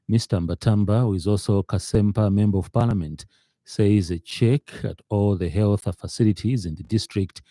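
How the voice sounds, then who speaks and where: A man's voice: fairly low pitch, mellow, no room sound. A man with a Zambian accent reading a formal radio news bulletin in a studio as the news reader.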